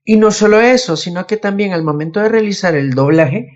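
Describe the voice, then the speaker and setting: A man's voice: sits high, animated, brisk. A man with a Latin American Spanish accent explaining things in a casual podcast, posing questions and answering them himself, on a lo-fi recording. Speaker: podcast host. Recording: dull, lo-fi voice connection.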